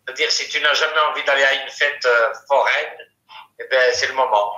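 A very bright, forceful Algerian man chats on an echoey, radio-like call. He rattles along quickly in a mocking storyteller's way, slipping between two languages. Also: mid-range voice, fairly even intonation, muffled recording. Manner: mocking storyteller, switching between French and Arabic. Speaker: caller. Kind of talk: casual chat.

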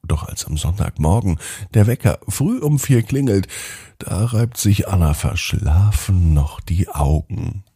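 Deep-voiced, animated male bedtime storyteller. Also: measured pace, breathy edge, no echo, clean studio sound. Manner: expressive, addressing young children directly. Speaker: children's podcast host and storyteller. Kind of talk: scripted bedtime story narration.

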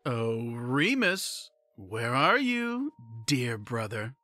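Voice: mid-range voice, sing-song, very slow, pushed and bright, no room sound, soft background music, exaggerated voice. A performer voicing a scheming male villain who has taken over a child's body, speaking in a mocking, theatrical way in a fan audio drama. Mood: longing.

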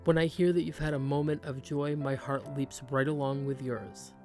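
Soft, earnest woman narrating over music. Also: rumbling low, steady tone, moderate pace. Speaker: cleaning channel host.

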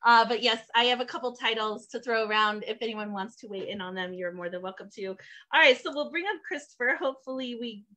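A woman's voice: a little high, brisk, bright, forceful tone. A woman hosting an open mic over a video call, talking in a chatty, rambling way. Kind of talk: casual hosting.

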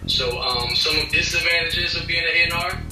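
A man's voice: fairly high pitch, brisk. A man around thirty from Ecuador, giving casual, slangy interview answers over a video call. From Ecuador, moved to the US at twelve. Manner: informal, slangy address. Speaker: interview guest, music A&R executive. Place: small indoor office. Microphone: video call, laptop distance. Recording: roomy, distant video-call audio with music behind.

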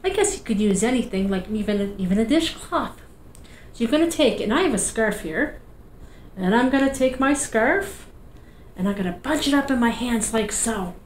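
A woman's voice: a little high, animated, normal speed, reverberant, slight background noise. A middle-aged woman with a Newfoundland accent, a children's librarian leading a storytime at home with a cheerful, sing-song, encouraging manner. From Canada. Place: small indoor room, seated in an armchair. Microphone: fixed camera at a few feet.

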